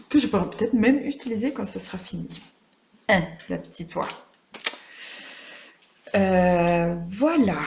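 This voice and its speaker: A woman talks off the cuff to her vlog viewers. Her voice sits a little low for a woman and crackles with fry. She is reflective and gently self-deprecating, searching for words as she goes. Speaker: craft vlogger. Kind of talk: casual, unscripted personal vlog chat.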